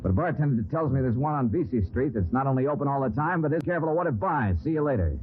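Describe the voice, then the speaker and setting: An actor playing a wisecracking, confident detective hero in an old-time radio drama, wry and commanding. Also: male voice, mid-range voice, expressive, fast talker, close to an everyday voice. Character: wisecracking, tough, confident male amateur sleuth. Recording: tinny old radio broadcast, music behind.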